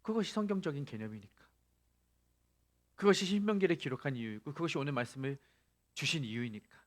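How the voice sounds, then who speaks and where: A man's voice: a little high, animated, fast. A male pastor preaching a sermon, asking the congregation rhetorical questions and rising to emphatic peaks.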